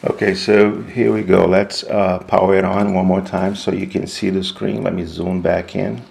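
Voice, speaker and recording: A man giving an unscripted, hands-on equipment walkthrough in a halting, explanatory way. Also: sits low, flat delivery, creaky, a little mellow. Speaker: product demonstrator.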